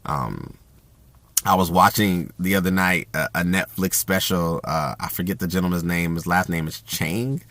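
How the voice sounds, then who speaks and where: A man talking casually about communication in an even, self-described monotone, with a loud voice that carries and plenty of fillers and restarts. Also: sits low, moderate pace, no echo. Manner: rambling with frequent fillers and restarts. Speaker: host.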